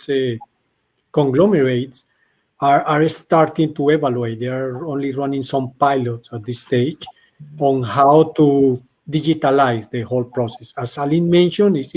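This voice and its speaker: A man's voice: on the high side, a little mellow. A man answering a question on an online panel, explaining at length and repeating words as he restarts. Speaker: company representative answering questions.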